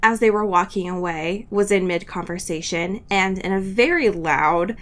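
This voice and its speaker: A woman's voice: mid-range voice, normal speed. A woman co-hosting a podcast in casual comedic banter, giggly and given to theatrical exaggeration. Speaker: podcast co-host.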